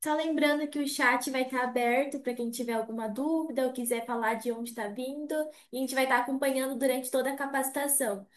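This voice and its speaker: Woman moderator, casual announcements, high voice, far from mic, roomy. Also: steady tone, brisk, hardly any pauses. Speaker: event moderator. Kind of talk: introducing a speaker.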